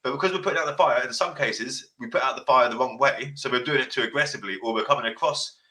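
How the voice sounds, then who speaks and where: A man hosting a podcast, giving blunt advice in casual chat with a deep voice, swearing freely and repeating himself. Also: fast talker. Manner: passionate, sounds like shouting. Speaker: podcast host.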